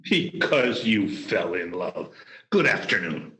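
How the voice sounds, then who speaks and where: A man acts out a sour old miser of a radio play. The voice is bright and hard-edged, clipped with scorn. He cuts people off curtly, as a tightfisted man of business who has no use for good cheer. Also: medium pitch, expressive, unhurried. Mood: curt, irritated. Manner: curt, scornful, cutting. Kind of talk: amateur radio play acting. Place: performed remotely over a video call. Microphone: video call.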